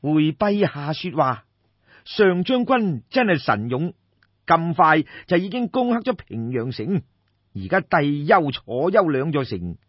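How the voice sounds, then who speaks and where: A man's voice: fairly high pitch, sing-song, a little slow, slightly rough, full character voice. A man with a Cantonese accent playing an obsequious, theatrical minister to an ancient king in an audiobook. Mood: deferential, flattering. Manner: obsequious, sighing, deferential. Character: fawning, theatrical male court minister to a king. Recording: dull, roomy lo-fi recording.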